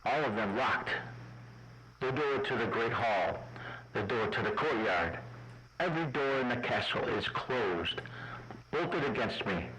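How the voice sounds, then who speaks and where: A man playing an uneasy traveller who narrates his captivity in a radio drama, with deliberate, suspenseful phrasing. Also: medium pitch, expressive, pushed and bright, soft background music, low-quality recording. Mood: trapped.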